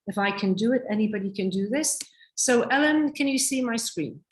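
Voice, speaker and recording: A woman giving an insistent sales pitch on a video call, a little croaky and repeating her points with emphasis. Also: lively intonation, a little fast. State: croaky from illness.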